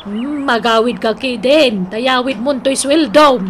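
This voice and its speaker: A woman's voice: on the high side, unhurried, natural voice. A woman playing an insistent, exclamatory young woman of a village family in a comic Ilocano radio drama. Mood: scolding. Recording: radio drama with clear background noise.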